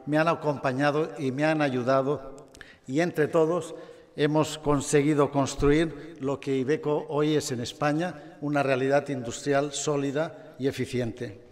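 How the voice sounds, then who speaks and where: Older man giving a warm acceptance speech. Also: fairly high pitch, steady tone. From Catalonia, Spain. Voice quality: measured, with light humor. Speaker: award recipient. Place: large gala hall. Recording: long reverb of a hall.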